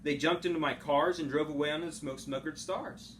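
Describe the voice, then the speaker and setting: A man reading a children's storybook aloud like a teacher, in a rhythmic, dramatic, expressive way. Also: on the high side, brisk. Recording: home recording with clear background noise.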